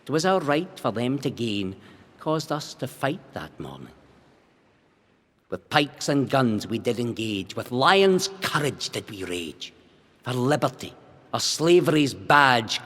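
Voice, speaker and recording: Man playing a slow-declaiming Scottish rebel weaver. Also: medium pitch, a bit bright, full character voice. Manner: slow, drawn-out, rhythmic declaiming. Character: defiant condemned Scottish rebel weaver reciting his verse. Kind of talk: theatrical ballad recitation. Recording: long hall-like reverb.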